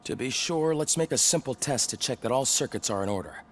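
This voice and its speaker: An actor plays a breezy technician in a video game who services police equipment. His mid-pitched voice runs chatty and jokey, with a light hiss behind it. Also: expressive, fairly quick, natural voice. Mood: businesslike. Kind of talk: video game cutscene acting.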